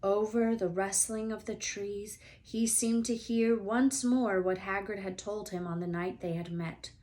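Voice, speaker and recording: A woman narrating a children's novel as a read-aloud storyteller, expressive and deliberate. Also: mid-range voice.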